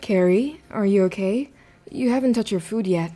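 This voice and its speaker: A woman's voice: mid-range voice, normal speed, mellow, lightly acted. Casting: woman as kindly, reassuring mom for audio drama, middle register. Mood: soothing, reassuring. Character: gentle, reassuring middle-aged mother.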